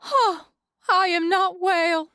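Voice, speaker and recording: A woman's voice: extremely high pitch, takes it slowly, sharp tone, dry recording, studio quality, full character voice. A woman playing a sorrowful Old West saloon showgirl, speaking in a plaintive, melodramatic way. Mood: despairing.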